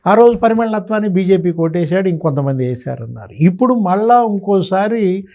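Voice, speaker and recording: A man's voice: sits high, expressive, normal speed, warm tone. A man giving unscripted political commentary, full of sarcastic, colloquial rhetorical questions. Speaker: political commentator, interview guest.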